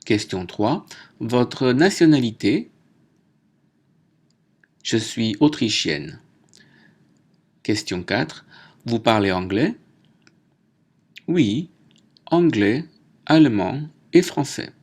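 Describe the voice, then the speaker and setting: A man teaching a French lesson, reading out names, nationalities and exercises slowly and deliberately. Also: mid-range voice, big pitch swings, darkish tone. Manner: slow, deliberate dictation. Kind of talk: slow lesson narration.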